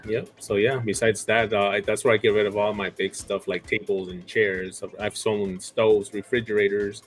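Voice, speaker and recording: A man in his forties chats as a guest in a loose, easygoing way, his thoughts often coming out in starts and stops. His voice is on the low side, with soft music faintly behind him. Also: normal speed. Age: 40s. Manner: relaxed, many fillers and restarts. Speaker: guest reseller. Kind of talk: casual chat.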